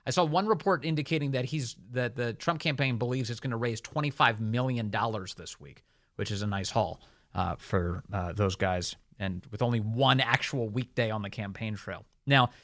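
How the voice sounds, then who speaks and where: An American man hosting a talk radio show, giving opinionated off-the-cuff news commentary in a halting delivery. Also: medium pitch, fairly bright tone, no echo, studio quality.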